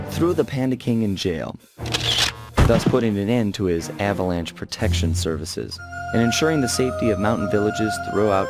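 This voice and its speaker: Man as a low-voiced suave thief narrator. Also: lightly acted. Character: suave, laid-back male raccoon thief telling his own tale. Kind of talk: scripted first-person game narration. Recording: loud music behind the voice.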